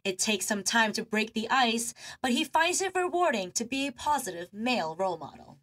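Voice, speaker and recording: A woman's voice: on the high side, very animated, moderate pace, fairly bright tone. A young woman reporter giving a scripted news report in an emphatic announcer manner. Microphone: handheld mic.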